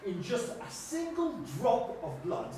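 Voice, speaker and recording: Excitable, kindly scientist, acted by a man: high, flustered, stammering explainer for a children's puppet show, very echoey. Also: takes it slowly, heavily acted. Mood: animated explaining. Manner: stammering, repetitive, emphatic, checking with "okay?". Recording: echoey room, distant mic, faint noise.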